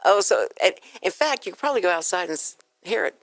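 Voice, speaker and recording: A woman's voice: fairly low pitch, lively intonation, fast, no room sound. A woman with an American accent hosting a chatty radio interview, rambling informally and acting out quotes as she tells stories. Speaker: radio show host.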